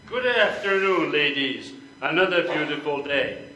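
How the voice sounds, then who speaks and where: Older man acting a high-pitched, flamboyant Frenchman. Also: expressive, unhurried. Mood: gallant, boastful. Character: flamboyant, worldly Frenchman, a painter. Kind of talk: amateur readers theater acting. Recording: echoey room, background hum.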